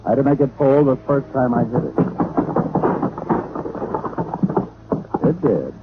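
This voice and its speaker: An actor playing a wisecracking, cocky hardboiled private detective with a dry, wry delivery in vintage radio drama style. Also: male voice, medium pitch, lively intonation, fast, loud music behind the voice, close to an everyday voice. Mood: wry narration. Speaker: narrator and lead. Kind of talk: 1940s-50s radio detective acting. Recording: noisy, tinny old radio recording.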